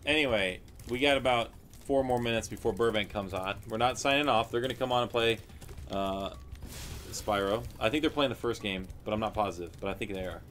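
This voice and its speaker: A man's voice: lowish voice, a little fast, fairly bright tone, a little hiss or hum. A man co-hosting a studio game livestream, chatting casually and joking in loose banter. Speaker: stream co-host.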